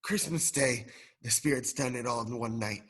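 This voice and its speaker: An elderly former miser, now overflowing with generosity and repentance as a male student plays him. The delivery is radio drama acting, in a medium-pitched voice. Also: normal speed, husky. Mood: giddy, excited.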